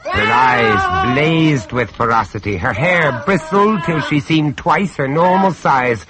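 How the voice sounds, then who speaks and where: An actor playing the male narrator of a radio horror tale, measured, urbane and ominous. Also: medium pitch, lively intonation, shouting, over loud music. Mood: alarmed.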